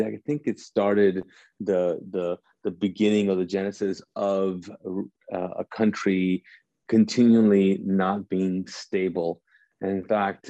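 Man in his 40s from kuwait thinking out loud, pretty monotone, dry close sound. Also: deep voice, dry recording, muffled recording. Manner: halting, thoughtful, searching for words. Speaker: speaker addressing an audience. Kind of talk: personal reflective talk.

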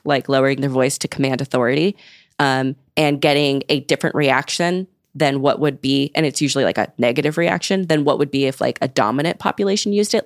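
A young woman with a notably low voice talks through her research in a relaxed, explanatory way, filling her sentences with "like". The sound is close and dry, with no room around it. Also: no echo. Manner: explanatory, uses "like" often. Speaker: guest researcher. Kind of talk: casual podcast chat.

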